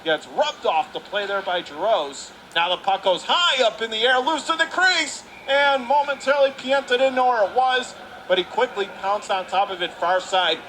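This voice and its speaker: A male play-by-play hockey announcer with a fairly high voice. He calls the action urgently in short, clipped phrases. Clear arena noise runs behind him throughout. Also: animated, moderate pace, bright, forceful tone. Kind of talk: live hockey commentary. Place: indoor ice hockey arena. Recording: clearly noisy live rink recording.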